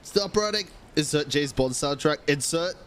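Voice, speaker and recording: A young man reacting live as he plays a video game on stream, excitable, slangy and sweary, with game music behind him. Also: fairly high pitch, moderate pace, clean tone. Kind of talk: live gameplay reaction commentary.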